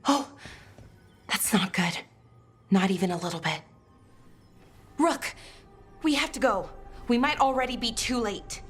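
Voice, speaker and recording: An actress playing an anxious, earnest young magic researcher in a video game. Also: female voice, a little high, expressive, unhurried, long pauses, husky. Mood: alarmed. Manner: earnest, urgent, apologetic. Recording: faint music behind the voice.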